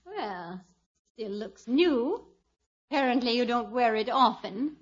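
A woman's voice: on the high side, animated, slow pace. Prim, haughty mother-in-law. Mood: haughty, pointed. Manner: formal, pointed. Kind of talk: old radio comedy acting. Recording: muffled vintage radio recording.

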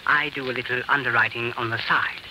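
A man's voice: mid-range voice, fairly even intonation, brisk, lightly acted. An actor playing an over-polite, insinuating insurance man with formal, unctuous speech in an old radio drama. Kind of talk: 1940s radio acting. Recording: hissy old radio recording.

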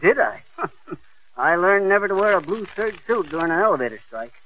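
An actor with an American accent playing a folksy, boastful, wisecracking husband in a 1930s radio comedy. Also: male voice, sits high, sing-song, brisk, fairly bright tone. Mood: amused, boastful. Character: jokey, boastful husband, a folksy comic storyteller. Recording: muffled, noisy 1930s radio recording.

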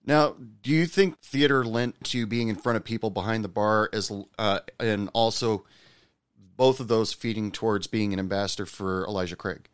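A man's voice: on the low side, moderate pace, clear, resonant tone, dry recording, studio quality. A male host asking questions and musing in a loose, rambling way with frequent fillers. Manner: rambling, many fillers and restarts. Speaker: interviewer, host. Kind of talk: casual interview chat.

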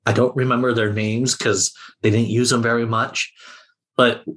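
A man on a podcast panel, chatting casually with halting, loose phrasing. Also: mid-range voice, flat delivery, fairly bright tone. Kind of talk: casual chat.

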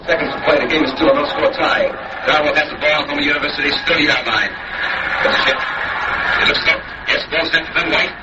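An actor playing an excited American radio announcer calling a football game in an old drama. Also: male voice, on the high side, a little fast, heavy background noise, close to an everyday voice. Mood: tense. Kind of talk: live sports commentary. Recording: muffled, distant radio broadcast with loud backing.

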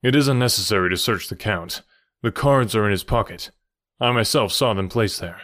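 A man voicing a calm, commanding young gentleman stranger in an audiobook, measured and formal. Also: lowish voice, no room sound, studio quality, natural voice. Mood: firm. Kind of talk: audiobook character voice.

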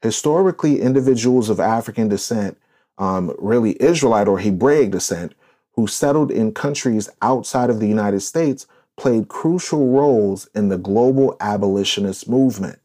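A man's voice: lowish voice, animated, normal speed, warm tone. A man hosting a podcast discussion, speaking in an emphatic, preachy, declarative way. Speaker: podcast host.

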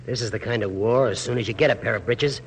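An actor playing a wry, wounded Continental foot soldier in an old radio drama, talking in clipped lines. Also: male voice, medium pitch, fast talker, natural voice. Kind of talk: 1940s radio drama acting. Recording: noisy old radio recording.

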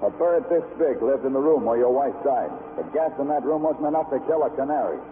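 An actor playing a terse, world-weary police detective in a vintage radio noir drama, deadpan and brooding. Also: male voice, mid-range voice, a little fast, close to an everyday voice. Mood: terse, accusing. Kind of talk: 1950s radio noir acting and narration. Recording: old tinny radio recording, music behind.

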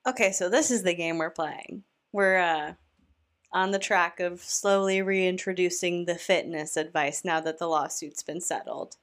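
A woman's voice: lowish voice, a little slow, a bit bright. A woman hosting a commentary podcast, reacting to a video with a snarky, incredulous manner. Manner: snarky, incredulous, talks back to the clip.

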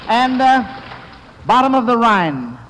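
A man with a high voice hosts a classic radio quiz in a folksy, encouraging manner. His intonation swings freely, and the old recording rings with hall reverb. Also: big pitch swings, slow pace, fairly bright tone. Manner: folksy, encouraging, talking to children. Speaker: quiz show host.

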